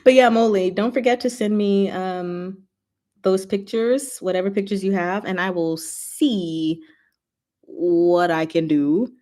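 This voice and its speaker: A woman hosting a livestream, chatting casually and playfully with her viewers in an animated, slangy way. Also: mid-range voice, slow. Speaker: livestream host and book reader.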